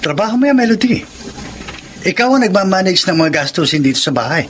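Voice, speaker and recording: An actor playing a cocky, selfish gambler husband, vain and dismissive, in a Filipino radio drama. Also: male voice, high voice, very animated, a little fast, slight background noise. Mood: irritated. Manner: smug, dismissive.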